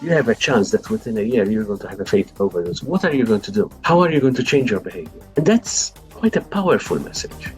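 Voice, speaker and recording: A man's voice: on the low side, very animated, fast talker, hardly any pauses, soft background music. A man speaking as an academic expert, giving explanatory answers in an interview. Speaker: expert interviewee, professor and clinical advisor.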